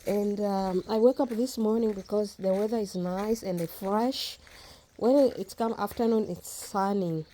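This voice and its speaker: A woman's voice: medium pitch, unhurried, dark tone. A woman whose first language is Swahili, chatting casually in a vlog as she films her garden outdoors on a phone, often restarting her phrases. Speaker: vlogger. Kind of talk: casual walk-and-talk vlog.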